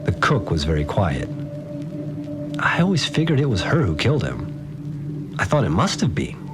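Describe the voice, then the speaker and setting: A man's voice: fairly low pitch, wildly swooping pitch, moderate pace, over music. A man playing a troubled, doubting fixer, speaking hesitantly and plainly in a confessional audio drama monologue. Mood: uneasy, confused. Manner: hesitant, plain-spoken, uneasy. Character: troubled, doubting male fixer recounting events.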